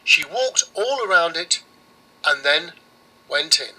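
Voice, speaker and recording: A man narrating a children's storybook in a measured, expressive way. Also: a little high, breathy edge, low-quality recording.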